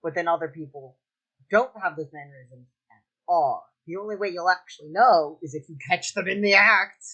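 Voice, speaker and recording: A young man with a light, high-pitched voice, chatting casually and joking about himself on a podcast. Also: normal speed, pushed and bright, lo-fi sound. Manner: chatty and self-mocking, joking and laughing easily. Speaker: streamer.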